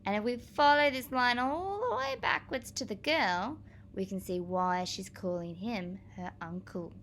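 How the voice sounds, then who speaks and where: Slow-paced woman reading aloud to children. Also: medium pitch, a little hiss or hum. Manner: clear, expressive, addressing young children. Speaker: primary school teacher reading aloud. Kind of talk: children's read-aloud with explanatory asides.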